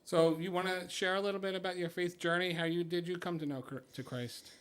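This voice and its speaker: A man's voice: high-pitched, fairly even intonation, brisk, a bit bright, smooth. A man hosting a faith podcast, giving an earnest, upbeat introduction and then interviewing his guest. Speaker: podcast host.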